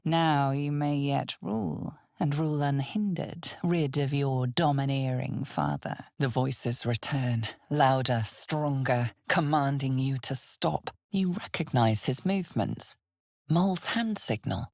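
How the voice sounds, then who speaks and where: An actress voicing an omniscient, solemn and ominous narrator in a fantasy video game, measured and theatrical, with weighty pauses. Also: female voice, a little mellow, dry recording, clean studio sound, full character voice. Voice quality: husky, breathy, low. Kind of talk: dramatic scripted second-person narration of a fantasy game.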